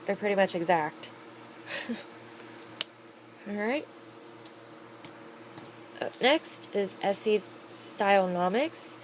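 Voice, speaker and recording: A woman talks casually into her camera at home, upbeat and chatty, drifting through fillers as she reviews products. Her voice sits at a middling pitch, and a faint hiss runs underneath. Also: animated, drawn-out words, pauses often, rough recording. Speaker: beauty vlogger.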